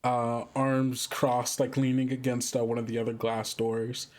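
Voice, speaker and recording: Mid-pitched voice improvising casual game narration. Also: monotone, unhurried, darkish tone. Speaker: tabletop roleplay player and narrator.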